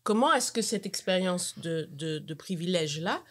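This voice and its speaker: A woman's voice: lowish voice, lively intonation, moderate pace, a bit bright. A woman, a podcast guest, asking searching questions and hunting for her words in a casual panel discussion.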